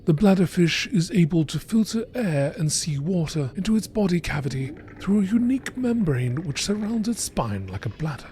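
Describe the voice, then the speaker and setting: A man reading scripted narration in a nature documentary style, in a measured, informative manner. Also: a little high, warm tone, a little hiss or hum.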